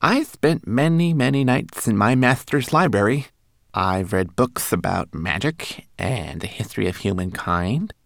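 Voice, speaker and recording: A man's voice: lively intonation, measured pace, creaky, dry recording, clean studio sound. A man voicing a tiny, fussy male homunculus servant who is proud and learned, with precise, formal speech. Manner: high, precise, eager and formal.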